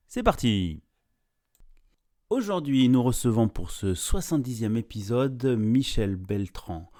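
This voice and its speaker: Man hosting a podcast, medium pitch. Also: a little mellow. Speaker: podcast host, interviewer.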